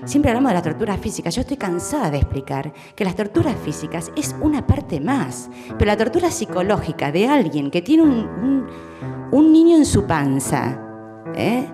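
A woman with an Argentine accent speaks emphatically and insistently. Her pitch swings in a lively way around a middle register, and music plays clearly behind her. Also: moderate pace. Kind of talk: impassioned spoken testimony.

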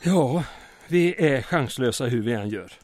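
An actor playing a small-town mayor under occupation in an audio drama, earnest but wry and weary. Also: male voice, mid-range voice, animated, darkish tone, dry recording, clean studio sound, lightly acted.

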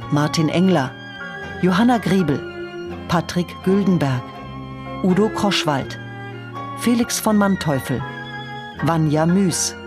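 A low-voiced woman reads radio drama credits over brass music. Her delivery is very slow, measured and neutral, like a scripted announcement.

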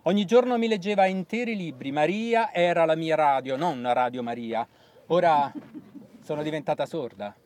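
A man's voice: on the high side, darkish tone, dry recording, lightly acted. A man as a dying old woman, reminiscing. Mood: resigned, quiet. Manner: measured, literary storytelling. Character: dying old deaf woman, stubborn, recalling her reader. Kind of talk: theatrical monologue.

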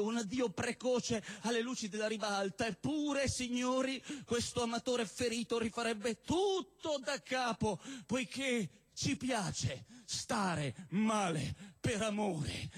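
A man performing satirical spoken-word poetry on stage in an emphatic, declamatory manner, stretching words and breaking into shouts. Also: very high voice. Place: live stage at a cultural venue event.

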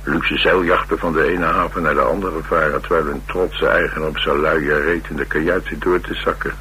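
A very deep-voiced, laconic drifter. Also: male voice, fairly even intonation, normal speed, pushed and bright. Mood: wry, nonchalant. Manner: terse, dry, nonchalant, slangy. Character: rough, laconic drifter, ex-mechanic and hard drinker. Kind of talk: naturalistic Dutch radio drama acting. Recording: radio recording with background hiss and hum.

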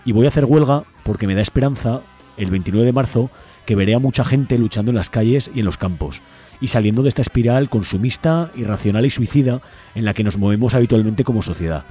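A man's voice: mid-range voice, quick pace, dark tone, slightly rough. A man with an accent from Spain hosting activist radio, speaking in an impassioned way with rhetorical lists. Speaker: radio host. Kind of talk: activist radio talk with phone-in.

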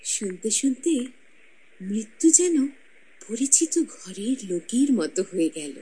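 A woman's voice: a little high, very animated, slow pace, mellow, slightly rough, exaggerated voice, poor audio. An actress playing the ghost of a proud young woman, telling her life story in a radio drama with intimate, teasing narration. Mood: lively.

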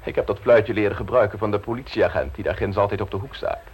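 An actor playing a cheeky, domineering private secretary in a radio play, teasing and coolly insistent. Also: male voice, fairly low pitch, fast talker, a little mellow, lightly acted. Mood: coolly bossy. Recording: noisy old radio play recording.

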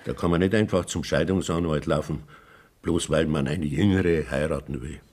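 A cautious village priest. His voice is very deep, and he speaks in a measured, formal way while he evades every demand. It is a role in a radio play. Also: darkish tone. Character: cautious middle-aged male village priest, measured and evasive.

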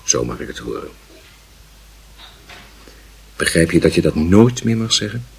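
A man's voice: deep voice, big pitch swings, measured pace, creaky, slight background noise, exaggerated voice. A man playing a stern, moralizing father who admonishes and questions his young son in a radio play. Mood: stern, admonishing.

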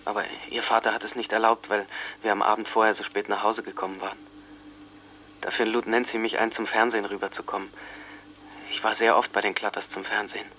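A young boyfriend making a statement in a radio play. He is earnest and plain-spoken, halting and repeating words in a fairly low voice over faint noise. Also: flat delivery, bright, forceful tone. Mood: subdued recollection. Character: Bobby, earnest teenage boyfriend giving a police statement. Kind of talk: radio drama monologue.

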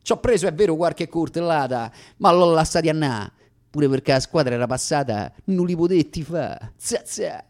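An actor playing a boastful Roman street bully in a comic theatrical monologue, with a thick Roman accent and a swaggering, declamatory slangy delivery. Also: male voice, on the high side, animated, normal speed, darkish tone. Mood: boastful storytelling. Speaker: stage actor performing a one-man radio play.

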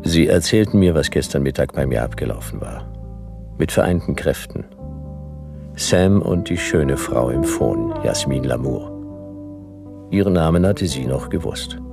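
A hard-boiled private detective from a noir radio play. The voice is very deep and gravelly, yet moves expressively. The delivery is dry, terse and world-weary. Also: male voice, lively intonation, measured pace, over music, full character voice. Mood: brooding narration. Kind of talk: noir radio play dialogue and first-person narration.

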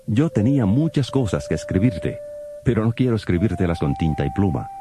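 A man giving a solemn, measured reading of scripture, his voice dropping to a hush at the ends of verses. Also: lowish voice, very animated. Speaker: Bible reader. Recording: guitar music under the voice. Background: plucked guitar music.

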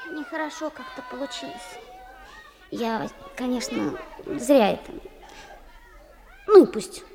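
A woman's voice: high voice, a little slow, crowd noise. A woman playing a stubborn, pert young schoolgirl in a radio play, with a childlike manner. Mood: sulky, regretful. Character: cheeky, stubborn, self-righteous young schoolgirl.

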